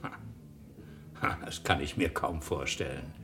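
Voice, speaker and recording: A man's voice: extremely low pitch, a little slow, crowd noise. An actor playing a defensive, evasive businessman husband in a German radio drama, indignant and dismissive. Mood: tense, disbelieving.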